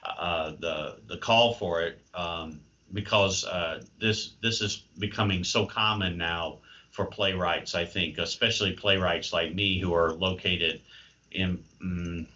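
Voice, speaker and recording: A man with a low but bright-edged voice speaks as an interview guest. He is thoughtful and wry, picking his words hesitantly. The audio is slightly dull and muffled. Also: steady tone, slow. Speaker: playwright and creative writing professor, interview guest. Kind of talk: conversational interview answers.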